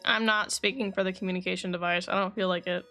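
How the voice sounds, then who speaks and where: A woman's voice: lowish voice, fairly even intonation, fast talker, sharp tone, faint music behind. A woman streaming a game, reading its dialogue aloud and adding casual commentary, correcting herself as she goes. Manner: self-correcting as she reads. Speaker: game livestreamer.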